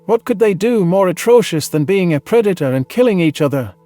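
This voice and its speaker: A man reading a dramatized science fiction story in the first person, earnest and measured with a dramatic delivery. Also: sits high, lively intonation, warm tone, no echo, faint music behind. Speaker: audiobook narrator.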